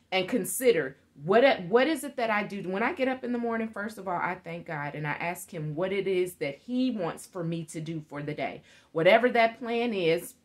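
Earnest woman on a home video call. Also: mid-range voice. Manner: earnest, gestures while talking, laughs often. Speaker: guest speaker on a live video call interview. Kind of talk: motivational talk. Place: living room at home. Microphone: webcam on a video call.

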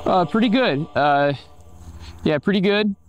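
A man's voice: high-pitched, lively intonation, unhurried, dull audio. A man vlogging a disc golf round in a playful, jokey manner, mixing casual chat with mock-dramatic narration.

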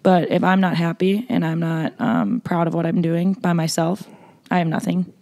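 A young woman talks in a loud, blunt, casual way, filling her sentences with "like." Her voice sits a little low for a woman. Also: normal speed. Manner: loud, blunt, frequent "like" fillers. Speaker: podcast guest, makeup artist. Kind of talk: casual interview chat.

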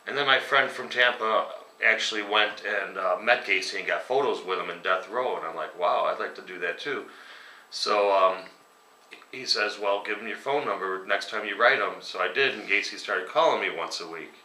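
A man's voice: on the low side, moderate pace, pushed and bright. A man in his forties, a metal band singer, answering interview questions in a casual, rambling way with lots of ums, sitting at a table at home. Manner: casual, rambling, many ums, chuckles at grim stories. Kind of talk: relaxed conversational interview answers. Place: dining room at home, indoors. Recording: echoey room, voice a bit distant.

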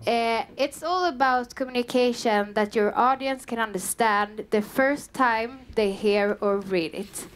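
Southern Swedish-accented woman presenting, fairly high voice. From Sweden. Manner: chatty, frequent um and uh fillers, light self-deprecating humor. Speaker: content manager giving a meetup talk. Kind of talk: conference presentation. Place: dim meeting room with a small audience. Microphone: headset mic.

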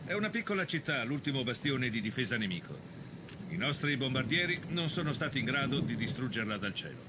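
Casting: male actor as stern army commander, mid-pitched, briefing troops in a war game, clear background noise. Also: natural voice. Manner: firm, commanding, formal. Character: stern military officer briefing paratroopers before a mission. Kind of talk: scripted mission briefing, Italian game dub.

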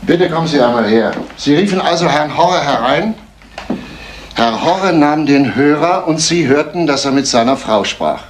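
An actor playing a methodical, insistent police detective in a retro German radio crime play, questioning with deliberate authority. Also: male voice, on the high side, lively intonation, lightly acted. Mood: probing, insistent. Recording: noisy vintage radio play recording.

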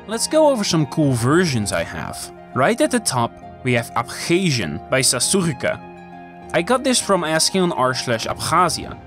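A male host whose native language is Dutch reads a scripted voice-over with eager energy. His pitch swings widely, and each new phrase starts on a bright lift. Soft music plays quietly behind him. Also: wildly swooping pitch, normal speed. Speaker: YouTube channel host.

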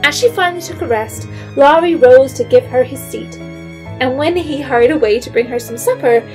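A woman narrating a period audio drama in a measured, literary storytelling manner. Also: high-pitched, darkish tone, faint music behind, close to an everyday voice, rough recording.

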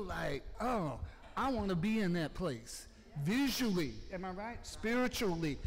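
Higher-voiced man speaking in an echoey hall. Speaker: audience member. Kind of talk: unscripted public comment. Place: large museum event hall, indoors. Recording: echoey hall with background noise.

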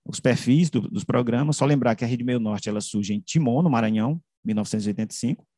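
Brazilian man presenting research, haltingly. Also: medium pitch, dark tone, breathy edge, dry recording, clean studio sound. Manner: halting, with frequent self-corrections and tag questions. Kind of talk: academic slide presentation, semi-improvised. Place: indoors, on a video call. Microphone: computer mic on a video call.

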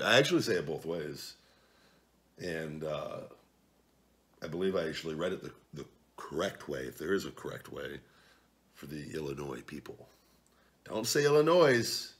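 Deep-voiced, blunt man ranting at home. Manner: blunt, profane, swings to loud outbursts and mutters. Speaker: vlog host. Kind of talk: casual chat reading viewer comments. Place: home living room at night, seated at a table.

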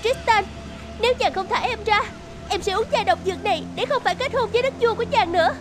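A woman's voice: very high-pitched, fast talker, music in the background. A woman playing a headstrong, lovelorn young princess in a cartoon fairy tale, regal and emotional. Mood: desperate, demanding.

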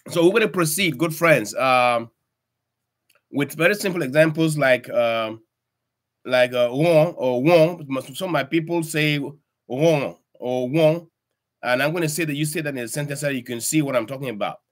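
An older man in his sixties, recording a language lesson at a webcam in his home study, speaking haltingly with many fillers and restarts as he addresses viewers as friends. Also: a little high. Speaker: language tutor. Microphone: webcam at desk.

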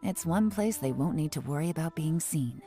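A woman voicing a calm, commanding female spymaster in a game, with a dry, composed delivery. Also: deep voice, normal speed. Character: cool, composed female spymaster giving orders. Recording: game audio with music.

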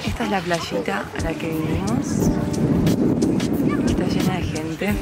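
A woman's voice: on the low side, a little slow. A young woman in her twenties with a Rioplatense Spanish accent, chatting to a handheld camera on a travel vlog, bubbly and affectionate. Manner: bubbly, affectionate, lots of diminutives. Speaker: vlogger. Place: outdoors in town, beach and in a car. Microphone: handheld camera at arm's length. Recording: music playing underneath.

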